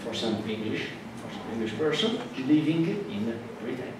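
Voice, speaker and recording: A man with an Italian accent, a native Italian speaker, giving a classroom lecture in a halting way with many fillers and restarts. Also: medium pitch. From Italy. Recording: echoey hall from far off with noise.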